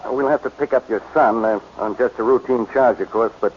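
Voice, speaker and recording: An actor playing a gruff, suspicious, excitable police inspector in a 1940s radio crime drama. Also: male voice, medium pitch, fairly quick, close to an everyday voice. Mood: measured. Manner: brusque, excitable. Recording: muffled, noisy 1940s radio broadcast.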